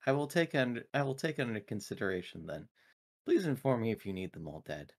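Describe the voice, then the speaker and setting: A man's voice: medium pitch, sing-song, fast talker, darkish tone. A man voicing an earnest, protective female android in formal, precise phrasing for a tabletop sci-fi game. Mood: coolly obedient.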